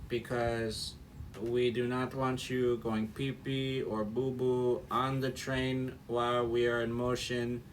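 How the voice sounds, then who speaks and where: A man doing a goofy, slow-talking train conductor. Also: mid-range voice, far from the mic, slight background noise, close to an everyday voice. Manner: slow, mock-official, silly threats. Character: goofy male train conductor making announcements.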